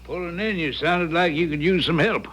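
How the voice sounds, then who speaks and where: Actor as a folksy American small-town garage owner. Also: male voice, a little high, fairly bright tone, slight background noise, natural voice. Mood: folksy, grave. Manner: folksy, plain-spoken, drawn-out. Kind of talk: 1970s radio drama acting.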